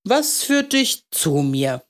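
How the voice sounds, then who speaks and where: A woman voicing a kindly, playful old male candlemaker in a storybook, talking in a gentle, ceremonious way. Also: mid-range voice, very animated, voice pushed up. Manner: gentle, ceremonious, drawn-out questioning.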